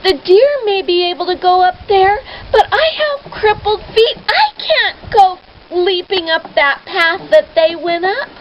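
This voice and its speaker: A woman's voice: very high voice, expressive, unhurried, few pauses, pitched above the performer's own voice. A woman voicing a timid, tearful, fearful young woman in a storybook tale, whining and pleading dramatically. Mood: tearful protesting. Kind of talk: children's storybook character voice. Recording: dull noisy lo-fi home recording.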